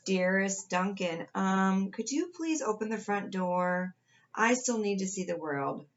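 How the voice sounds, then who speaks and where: A woman's voice: mid-range voice, lively intonation, measured pace, dull audio, lightly acted. A woman voicing a pompous, self-important storybook crayon who fancies itself a grand adventurer.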